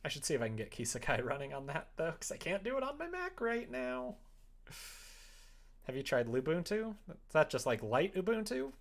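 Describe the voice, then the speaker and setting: Casual livestream host, mid-pitched and very animated, informal, conversational delivery. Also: normal speed. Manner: informal, animated, quoting imagined exchanges. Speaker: streamer chatting while making a visual novel.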